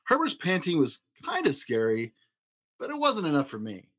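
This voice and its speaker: A man narrating a children's picture book in an expressive storytelling style. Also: on the high side, normal speed. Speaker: storybook reader.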